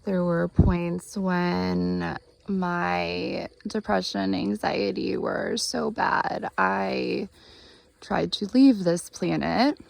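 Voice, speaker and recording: Very slow, creaky-voiced woman guiding meditation. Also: lowish voice, fairly even intonation. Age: 30s. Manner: gentle, unhurried, earnest, with long pauses. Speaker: guided meditation host, healer. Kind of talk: guided meditation talk. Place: outdoors in the woods by a spring.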